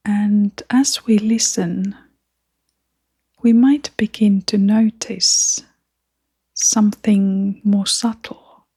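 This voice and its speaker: Female meditation guide. Hushed, airy delivery at a very unhurried pace, gentle and calming, with generous pauses. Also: medium pitch, no echo, clean studio sound. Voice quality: soft, breathy, often near-whispering. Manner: gentle, soothing, with long pauses.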